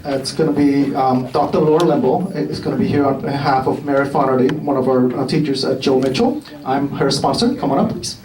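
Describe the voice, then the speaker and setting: A man presenting grants at a community meeting, halting and filled with ums and little chuckles. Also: medium pitch. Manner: halting, many fillers, chuckles between remarks. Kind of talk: casual presentation remarks. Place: meeting room with an audience. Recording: roomy hall from far off with crowd.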